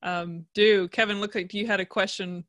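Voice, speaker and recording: A woman's voice: medium pitch, fairly even intonation, moderate pace, a bit bright. A woman hosting a podcast in a conversational interviewing style. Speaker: podcast co-host, physician.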